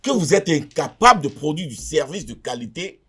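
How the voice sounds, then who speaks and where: A man's voice: on the high side, big pitch swings, moderate pace, fairly bright tone, slightly rough. A man, a media consultant guest in a TV debate, arguing rhetorically and repeating phrases for emphasis. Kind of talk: heated TV debate.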